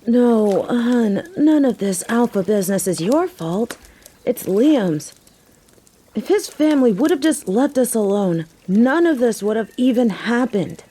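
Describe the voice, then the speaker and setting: A woman's voice: fairly high pitch, mellow. A woman playing a tough, sardonic but caring young biker girlfriend in a roleplay audio drama, talking in casual slang with a teasing, wry manner. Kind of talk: scripted roleplay audio drama, intimate one-sided conversation. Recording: faint background noise.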